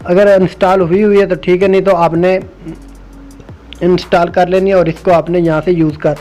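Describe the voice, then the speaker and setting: A man's voice: high-pitched, level tone, normal speed, dark tone. A man hosting an informal step-by-step phone app tutorial. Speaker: tech tutorial host. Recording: music playing behind the voice. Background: music.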